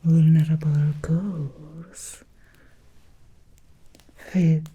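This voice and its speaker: A woman playing an obsessed, seductive Victorian vampire in an ASMR roleplay, whispering softly and slowly in an intimate, breathy voice. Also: sits low, little pitch movement, full character voice. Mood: tender, intimate. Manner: slow, intimate, deliberate with long pauses. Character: obsessed, seductive Victorian female vampire, hungry yet restrained.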